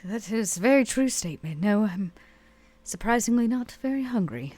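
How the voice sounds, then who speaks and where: A woman playing a haughty, world-weary vampire club owner in a tabletop game, speaking in a measured, dry way. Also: mid-range voice, lively intonation, darkish tone, no room sound, voice pushed up, close to an everyday voice. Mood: weary, bitter.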